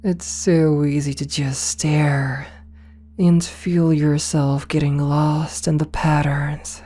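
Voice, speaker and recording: A woman playing a possessive, domineering rich CEO in an ASMR roleplay, speaking softly and coaxingly with condescending sweetness, slipping into whispers. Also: low voice, flat delivery. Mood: hypnotic, coaxing. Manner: slow, soft, breathy coaxing, slipping into whispers.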